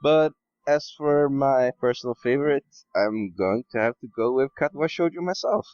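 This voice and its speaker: A man's voice: mid-range voice, normal speed, a little mellow, dry recording. A man giving blunt, casual opinions as a podcast guest, over a dull voice-call sound.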